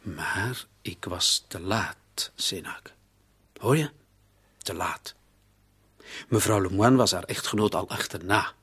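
An actor playing a shrewd, taunting police commissioner interrogating a suspect in a radio play. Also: male voice, deep voice, slow, pauses often, fairly bright tone, breathy edge, dry recording, heavily acted. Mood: grim, taunting. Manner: sardonic, taunting, with rhetorical tags.

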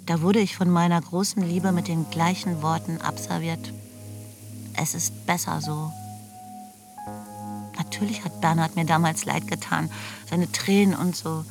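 A middle-aged woman narrating in the first person in a radio play. Her low voice is reflective, candid and colloquial, set over a music bed. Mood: wistful, candid. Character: reflective, candid older Marion recalling youth.

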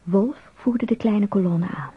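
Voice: medium pitch, a little slow, breathy edge. A narrator telling a scripted story in a radio play. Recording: dull radio play recording.

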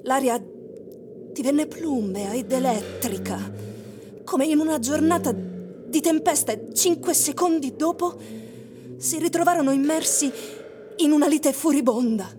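An actress delivering scripted dramatic narration of a tense literary story for a radio play. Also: female voice, high-pitched, measured pace, pauses often, noisy background. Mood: intense, urgent. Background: music.

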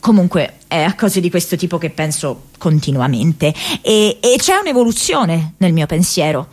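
A woman acting a young American activist in a radio theatre monologue, thinking aloud from her diary in a reflective, halting, conversational way. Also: on the low side, very animated, a little mellow, husky. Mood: reflective, earnest. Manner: halting, reflective, conversational, with hesitations and repeated words.

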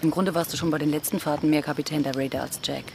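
A woman's voice: sits low, steady tone, fast, gravelly, background noise. Tender, battle-weary pirate woman. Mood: concerned. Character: Mary, female pirate, tender, devoted, weary of fighting. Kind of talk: German radio drama acting. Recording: studio radio play with prominent music.

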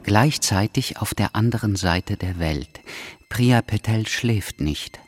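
A man acting as a meticulous car-factory worker who narrates a scripted audio drama in a precise, deliberate manner. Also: lowish voice, expressive. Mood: solemn narration.

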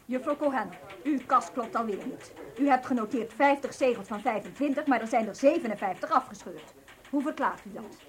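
Actress as a high-pitched, strict office supervisor. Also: female voice, brisk, close to an everyday voice. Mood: stern, reproachful. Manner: stern, formal, reproachful. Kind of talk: radio drama acting.

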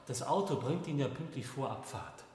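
Echoey, servile hotel porter, played by an actor. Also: male voice, mid-range voice, expressive, moderate pace, a little mellow, close to an everyday voice. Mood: deferential. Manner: formal, servile. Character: obsequious, watchful hotel night porter. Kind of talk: German radio play acting. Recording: very echoey, reverberant hall.

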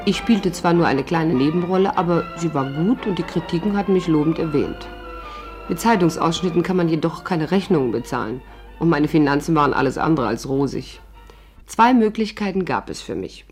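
A woman's voice: low-pitched, animated, fairly quick, darkish tone, faint music behind. A woman playing a wry, hard-up stage actress who narrates her adventure in a 1950s German radio drama. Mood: wry, confiding.

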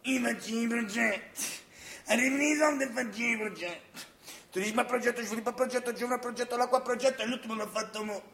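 A frustrated, self-important man with a southern Italian accent in a radio play. His voice is extremely high and gravelly, ranting and declaiming as if to a crowd. It rings in a roomy, echoing space. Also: fast talker. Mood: exasperated ranting. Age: 30s. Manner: ranting, declamatory, often shouting. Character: frustrated, boastful man of thirty-three dreaming of projects. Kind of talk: theatrical radio drama acting. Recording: roomy stage-like echo.

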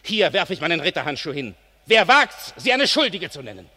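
An actor playing a loyal, chivalrous count, declaiming ardently in a classical verse radio drama. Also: male voice, a little high, sing-song, brisk, bright, forceful tone. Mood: defiant, fervent. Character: loyal, chivalrous male count and knight, passionately trusting. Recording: noisy recording with ambience.

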